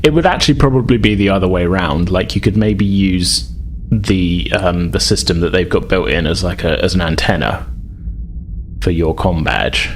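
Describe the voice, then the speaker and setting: Low-voiced man running a tabletop game. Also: normal speed, a little hiss or hum. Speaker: game master. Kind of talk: improvised tabletop game narration.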